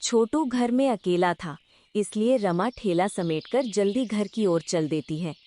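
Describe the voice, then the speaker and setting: A woman narrating a cartoon moral story in a measured storytelling manner. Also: mid-range voice, mellow, slight background noise.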